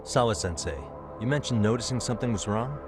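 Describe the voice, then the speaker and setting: A man's voice: mid-range voice, quick pace, natural voice. An actor playing a cool, confident male private detective, casual and plainspoken, in a video game drama. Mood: matter-of-fact. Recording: music behind the voice.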